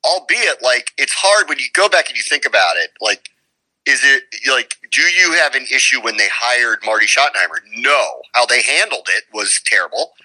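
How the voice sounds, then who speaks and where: A man's voice: medium pitch, sing-song, normal speed, sharp tone, poor audio. A man giving conversational sports commentary as a radio guest, emphatic and opinionated, often posing rhetorical questions.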